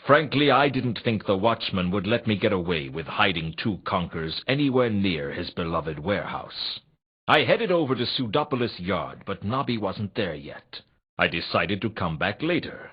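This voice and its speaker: Noir game detective: world-weary and wry, lowish voice with widely swinging intonation, dry deadpan narration. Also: male voice, slightly rough, heavily acted. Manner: deadpan, dry, brooding delivery. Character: world-weary, wisecracking male private investigator, hardboiled noir. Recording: slightly dull, lo-fi game audio.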